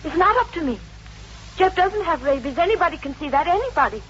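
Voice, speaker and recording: An actress in a 1950s radio drama playing a distraught, bitter wife who pleads and broods, repeating herself. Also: female voice, very high-pitched, very animated, fast, a bit bright, exaggerated voice. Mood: agitated, defensive. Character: distraught, bitter wife guarding her beloved dog. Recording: noisy, dull vintage radio recording.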